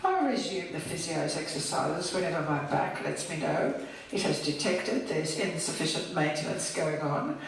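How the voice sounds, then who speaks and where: An older woman reading her own poem as a scripted recital, in measured, deliberate phrases with pauses. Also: sits low, very animated. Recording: distant, very echoey hall with background noise.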